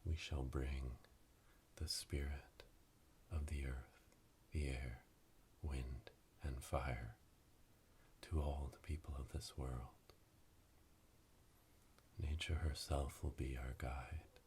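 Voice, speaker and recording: Man as a slow, whispering, solemn coven witch. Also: extremely low pitch, a little hiss or hum. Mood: solemn, hushed. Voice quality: breathy whisper, monotone. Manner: slow, hushed, ceremonial whispering. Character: solemn witch elder leading a coven initiation. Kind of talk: whispered ASMR roleplay. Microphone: close binaural microphone.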